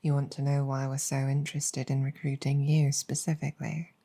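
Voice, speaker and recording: A woman voicing a weary, earnest demon general in an intimate audio roleplay, speaking reflectively with hesitant pauses. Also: a bass voice, flat delivery, dark tone. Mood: patient, explaining.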